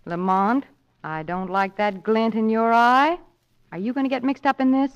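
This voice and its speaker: Witty, high-voiced radio heroine played by a woman. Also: steady tone, moderate pace, smooth, lightly acted. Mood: worried. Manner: wry, flippant, playful. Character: witty, plucky young society lady, sleuth's companion. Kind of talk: old-time radio drama acting. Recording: dull lo-fi old radio recording.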